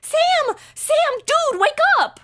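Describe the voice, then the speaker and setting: A woman's voice: extremely high pitch, sing-song, takes it slowly, very noisy, full character voice. A woman playing a sarcastic, outspoken young modern granddaughter with slangy delivery in a comedy audio drama. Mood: alarmed, indignant. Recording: music under the voice.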